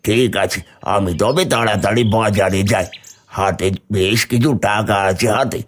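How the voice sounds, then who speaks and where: A man's voice: fairly low pitch, moderate pace, lightly acted. A man voicing a cunning but mild village fisherman in a cartoon, with a wheedling, hesitant manner. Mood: pleased. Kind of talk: cartoon dialogue acting.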